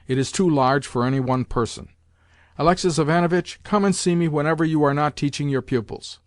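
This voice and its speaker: A man's voice: a little high, a little fast, no echo, clean studio sound, natural voice. A man reading a novel aloud, voicing an imperious old grandmother who bluntly scolds and gives orders. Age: older. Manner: commanding and blunt, hurling insults. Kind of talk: audiobook character voice.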